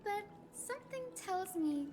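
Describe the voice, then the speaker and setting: A woman voicing a gentle, polite young healer in a video game, with a soft, earnest delivery. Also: extremely high pitch, animated, a little slow, long reverb tail, soft background music.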